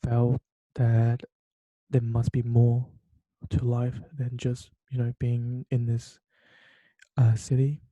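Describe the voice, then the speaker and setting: A man's voice: medium pitch, monotone, slow pace, mellow, no room sound. A young man from Taiwan hosting a solo podcast from his bedroom, talking hesitantly with many fillers and restarts. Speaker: podcast host. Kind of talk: solo late-night podcast monologue. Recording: dull lo-fi sound.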